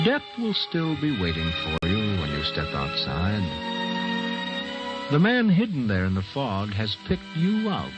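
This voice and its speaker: A man's voice: medium pitch, highs cut off. An actor playing a menacing, taunting narrator in an old radio thriller, speaking theatrically and insistently straight to his victim. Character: menacing, taunting male narrator stalking a doomed man with words. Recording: old radio drama with music behind.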